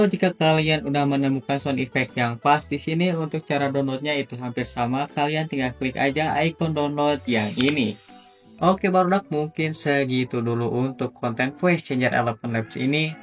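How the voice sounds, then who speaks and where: A man narrating a casual, scripted tutorial as its host. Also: a little high. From Indonesia, Sunda region. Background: background music.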